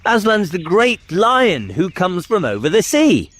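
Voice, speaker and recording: A man's voice: high voice, wildly swooping pitch, a little slow, fairly bright tone, dry recording, pitched above the performer's own voice, heavily acted. A man voicing a very young, eager and innocent boy prince in a storybook, earnest and babbling. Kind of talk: dramatic storybook character reading.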